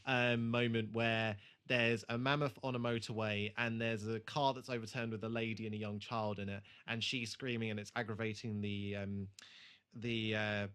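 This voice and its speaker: A man's voice: on the low side, level tone, normal speed, a bit bright, clear, resonant tone. A man co-hosting a podcast, chatting casually and swearing freely about a TV show. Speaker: podcast host.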